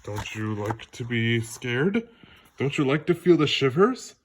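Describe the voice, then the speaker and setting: A man's voice: mid-range voice, sing-song, a little slow, a little hiss or hum. A man voicing a playful frog from a storybook who spins a spooky tale in a teasing, suspenseful manner.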